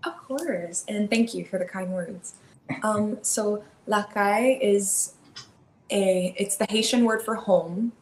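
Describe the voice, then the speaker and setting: A young American woman chats freely and upbeat, scattering likes and ums as she talks about her work. Her mid-pitched voice sits in a roomy, echoey space. A faint ambient hum runs underneath. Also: slow. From Miami, Florida. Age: young adult. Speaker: animation filmmaker guest. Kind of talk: casual interview chat.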